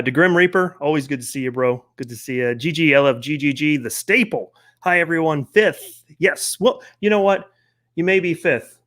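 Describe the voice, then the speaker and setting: A man's voice: a little high, fairly bright tone. A man hosting a live stream, greeting viewers by name in a loose, friendly, casual way. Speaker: host.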